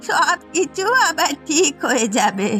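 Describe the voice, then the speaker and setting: A woman's voice: high-pitched, sing-song, measured pace, a bit bright, soft background music, exaggerated voice. A woman voicing an anxious, fretful village mother who exclaims to her friend in a fairy-tale cartoon. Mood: fretful concern.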